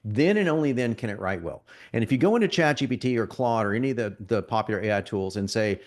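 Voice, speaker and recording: A man getting over a cold, presenting a live marketing software demo to an audience in a conversational, salesy way, often restarting his sentences. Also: lowish voice, expressive, fast.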